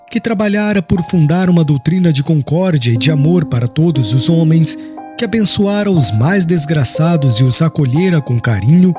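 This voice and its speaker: A man reading an audiobook as dramatic, solemn scripted narration in a literary manner. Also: on the high side. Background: music.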